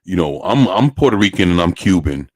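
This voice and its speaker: A man with a low-pitched American voice, chatting loosely in street slang. He swears freely and often doubles back on his words, repeating phrases as he goes. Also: sing-song. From United States. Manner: streetwise slangy speech, peppered with profanity; halting, repetitive phrasing. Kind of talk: casual chat.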